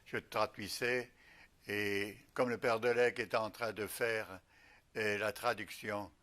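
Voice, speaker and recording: An older man from Alsace, a retired missionary bishop, recalling his life slowly with many pauses. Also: lowish voice, sharp tone. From Alsace, France. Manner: slow, halting recollection with many pauses. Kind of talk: reminiscing interview.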